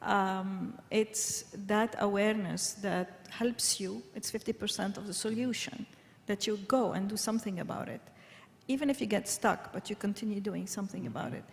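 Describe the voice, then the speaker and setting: A woman from Lebanon speaking on a conference panel as a wellness coach, pausing often with fillers and restarts. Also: medium pitch, vocal fry. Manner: hesitant, many fillers and restarts. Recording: reverberant conference hall.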